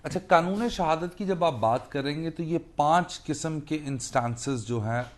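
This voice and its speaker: A man's voice: on the high side, normal speed. A man with a Pakistani accent giving an explanatory legal lecture as a mentor, emphatic, repeating key phrases and mixing Urdu with English. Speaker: law teacher and mentor.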